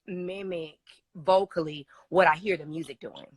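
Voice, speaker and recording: A young woman singer with a low, raspy voice, chatting enthusiastically as an interview guest with lots of fillers. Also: fairly even intonation, moderate pace, no room sound, clean studio sound. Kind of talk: casual interview chat.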